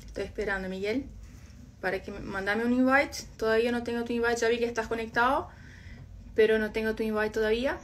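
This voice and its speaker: Brazilian woman, uruguayan-argentine accent, native portuguese speaker, fairly high voice, chatty live-stream host. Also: steady tone, fast talker, slight background noise. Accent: Uruguayan-Argentine Spanish. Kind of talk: casual live-stream chat.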